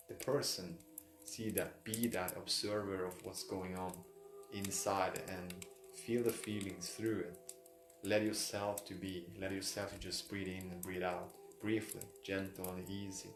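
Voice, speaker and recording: Low-voiced man guiding a meditation, roomy echo. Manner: repetitive, halting phrasing in non-native English. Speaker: meditation guide. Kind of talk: guided meditation. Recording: roomy echo, music behind. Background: music.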